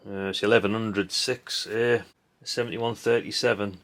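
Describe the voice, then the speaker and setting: A man's voice: on the low side, steady tone, normal speed, a bit bright. A middle-aged man chats casually and bluntly as a hobbyist host, rambling in a dry way with tag questions and mild swearing. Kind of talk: casual rambling commentary while sorting old electronics. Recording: clinking parts and background noise. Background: clicks and clatter of handled parts.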